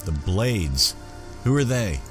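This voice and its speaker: A man's voice: fairly low pitch, sing-song, slow pace, music in the background. A man doing roleplay commentary as he plays a video game, talking conversationally and in character. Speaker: gamer playing in character.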